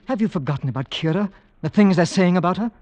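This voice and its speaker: A devoted, determined husband and hunter speaks with courtly, theatrical earnestness. The old radio horror recording sounds dull and narrow. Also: male voice, sits high, expressive, fast, a little mellow. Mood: earnest, troubled. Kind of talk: old-time radio horror drama acting.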